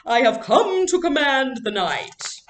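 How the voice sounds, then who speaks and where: A woman's voice: high-pitched, very animated, takes it slowly, a little hiss or hum, voice pushed up, full character voice. A woman voicing a nervous, earnest young girl with a dramatic streak in a storybook read-aloud. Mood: imperious, theatrical. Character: nervous young girl, theatrical, wistful best friend.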